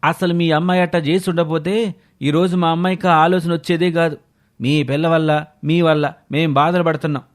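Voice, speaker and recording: A man's voice: fairly high pitch, level tone, brisk, lightly acted. A man voicing an indignant village neighbour in a story, accusing, sarcastic and aggrieved. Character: indignant village neighbour blaming another family. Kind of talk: dramatised moral story acting.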